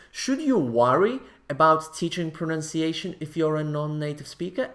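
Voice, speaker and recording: A man's voice: fairly high pitch, fairly bright tone. Earnest man presenting from his living room. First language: not English. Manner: earnest, reassuring, gestures with hands. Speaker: host. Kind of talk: scripted video presenting.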